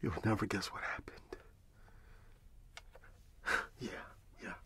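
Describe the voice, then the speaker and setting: A middle-aged man playing a friendly, grateful candy shop owner in an ASMR roleplay, speaking in a breathy whisper with a gentle, halting manner. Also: close to an everyday voice. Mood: excited, affectionate.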